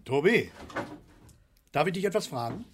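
Excitable, scheming dad, high-pitched and animated. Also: male voice, moderate pace, slight background noise. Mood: stubborn insistence. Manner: theatrical, rambling, insistent. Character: excitable, scheming, stubborn father arguing over a unicorn. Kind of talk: German radio play acting, satirical dialogue.

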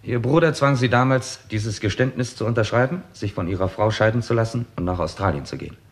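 Give the impression of a composed male detective played by a man in a sixties radio crime drama. His voice is fairly low, his formal and exact. He lays out a case methodically, pressing with rhetorical questions. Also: fast, close to an everyday voice. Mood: pressing, accusing. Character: confident male police detective laying out a case, probing and composed. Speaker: actor in a crime radio play. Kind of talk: 1960s German radio drama acting.

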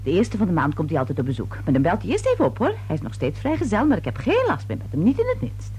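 A gossipy middle-aged neighbour in a radio drama. Her voice is very husky and swings wildly up and down. She confides and insinuates with great self-importance. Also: lowish voice, wildly swooping pitch, fast talker, warm tone, exaggerated voice. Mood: confiding, chatty. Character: gossipy, twice-married older neighbour woman, nosy and self-important. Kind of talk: Dutch radio play acting.

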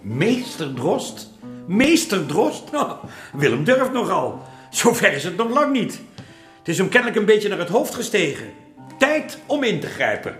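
An actor playing an exacting master painter in a historical radio drama, chiding his apprentice in an unhurried way with hesitant pauses. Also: high voice, very animated, a bit bright, full character voice. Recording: echoey room, music underneath.

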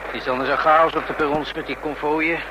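An actor playing a sceptical police lookout in a radio play, making dry, observant remarks. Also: male voice, on the high side, steady tone, fairly quick, close to an everyday voice. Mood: watchful, sceptical. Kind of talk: radio drama acting. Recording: noisy old radio play, outdoor ambience.

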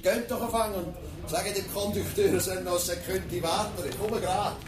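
A man's voice: sits high, moderate pace, music in the background, lightly acted. An actor playing an educated, talkative man in a radio drama, speaking Swiss German in a knowing way. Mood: jovial. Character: educated, talkative man, courting but quick to bristle.

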